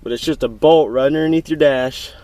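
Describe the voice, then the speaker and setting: A man's voice: a little high, a little fast, mellow. A man giving an informal, plainspoken how-to walkthrough as a DIY video host, filmed in and around a pickup truck. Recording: clear background noise, vehicle ambience.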